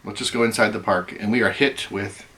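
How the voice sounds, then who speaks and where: A middle-aged man hosting a casual chat, rambling with many ums, his voice going hoarse. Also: on the low side, fairly even intonation, brisk, a bit bright. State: losing his voice. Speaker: host.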